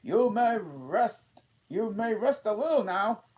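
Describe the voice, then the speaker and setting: A man voicing an impatient, bossy queen in a storybook reading, with shrill, loud commanding shouts. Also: big pitch swings, takes it slowly, exaggerated voice. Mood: brisk commanding. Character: bossy shrieking queen, grown woman, impatient.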